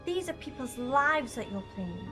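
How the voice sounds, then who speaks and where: Woman acting an earnest, high-voiced young companion. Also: sing-song, unhurried, sharp tone, faint music behind, exaggerated voice. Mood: reproachful, sad. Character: young female companion, outspoken, morally indignant. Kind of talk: audio drama acting.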